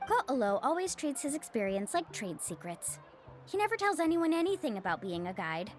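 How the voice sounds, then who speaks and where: A woman's voice: sits high, very animated, normal speed. A woman voicing a friendly, confident young adventure guide in a video game. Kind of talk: video game character acting. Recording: faint music behind the voice.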